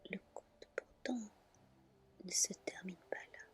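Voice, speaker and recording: A woman reading a tale aloud in a soft, soothing ASMR style, with deliberate phrasing. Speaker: storyteller, ASMR reader.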